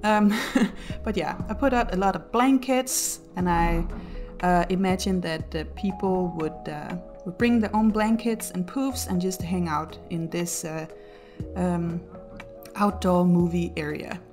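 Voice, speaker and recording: A Danish woman with a Danish accent, talking through her build in a casual voice-over at home, halting with many ums and self-deprecating laughs. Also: lowish voice, over music. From Denmark. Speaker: YouTuber narrating a build video.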